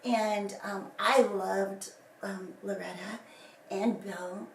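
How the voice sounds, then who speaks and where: A woman giving a rambling personal account, her voice still hoarse and breathy from illness, often dropping into whispers. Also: medium pitch, fairly even intonation, very slow, slight background noise. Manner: rambling, many fillers and restarts. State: recovering from lost voice, hoarse and whispery. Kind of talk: casual personal statement to camera. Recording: echoey room from a distance, faint ambience.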